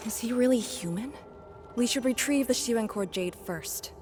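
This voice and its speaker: A woman's voice: on the high side, normal speed, a little mellow, lightly acted. A woman voicing a stern, composed mission leader in a video game, serious and clipped in her delivery. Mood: grave. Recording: game music behind the voice. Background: game soundtrack.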